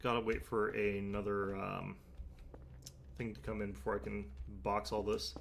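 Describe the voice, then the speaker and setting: Stuffed-up gravelly man muttering through gameplay. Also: fairly low pitch, level tone, moderate pace, background noise. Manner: mumbling asides to himself while playing. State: stuffed-up nose. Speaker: streamer. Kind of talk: casual gameplay commentary.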